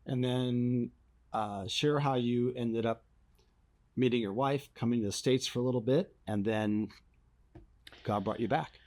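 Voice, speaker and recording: A man's voice: mid-range voice, fairly even intonation, unhurried, long pauses, darkish tone. A man hosting an interview, introducing his guest and prompting him with encouraging affirmations. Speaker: interview host. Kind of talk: friendly interview.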